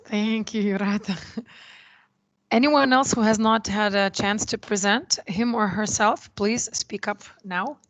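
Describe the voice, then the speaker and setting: A woman's voice: mid-range voice, steady tone, measured pace. A woman moderating an online meeting, politely calling on participants and apologizing for technical trouble. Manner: polite, apologetic, brief laughs. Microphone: video call.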